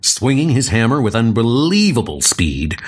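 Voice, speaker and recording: A man narrating a comic book audio drama in a theatrical, measured way, with music behind. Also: medium pitch, big pitch swings, slightly rough.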